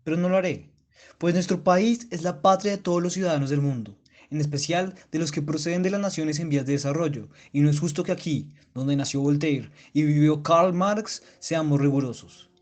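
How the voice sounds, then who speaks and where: A man's voice: a little high, a little fast, a little mellow, natural voice. A man playing a stern, pompous school director who speaks in long oratorical sentences in a radio drama. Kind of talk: literary radio drama monologue.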